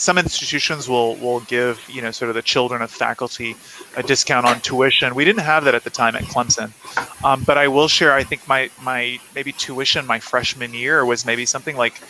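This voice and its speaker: A man from South Carolina speaking conversationally as an interview guest, explaining things reflectively. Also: mid-range voice. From South Carolina, United States. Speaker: guest author. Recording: clear background noise on livestream.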